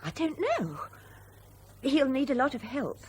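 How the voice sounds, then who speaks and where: An actress playing a pilot's brittle, plain-spoken wife in a radio drama, with a husky voice. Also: female voice, high voice, huge pitch swings, measured pace, darkish tone, a little hiss or hum, exaggerated voice. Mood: anxious, guarded.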